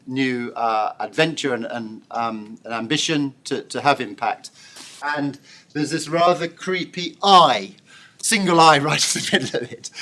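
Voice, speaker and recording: A middle-aged man giving a thoughtful public lecture, pausing often with fillers and restarts. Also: mid-range voice, pushed and bright. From born and raised in Congo. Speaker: professor giving an inaugural lecture. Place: lecture hall.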